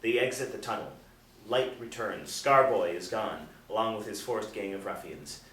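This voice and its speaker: A man narrating stage directions at a screenplay table read in measured, dramatic storytelling phrases. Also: lowish voice, a bit bright. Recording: echoey room, distant, faint noise.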